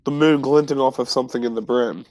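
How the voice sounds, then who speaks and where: A man's voice: mid-range voice, steady tone, fast talker, a little mellow, no room sound. A man playing a tabletop game, casually describing what his character does and chatting with the group.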